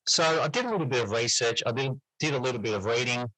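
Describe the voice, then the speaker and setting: A middle-aged man talks as an interview guest, explaining things reflectively. His voice is bright and clear-edged, at an ordinary male pitch. The sound is dry, with very little room around it. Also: expressive, quick pace, dry recording, rough recording. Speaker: interview guest, teacher and writer. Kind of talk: conversational interview answers.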